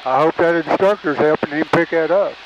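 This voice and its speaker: A man's voice: on the high side, fairly quick, a loud crowd. A man coaching a pilot from inside a small aircraft cockpit, casual, encouraging and reassuring. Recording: muffled audio, loud cockpit noise.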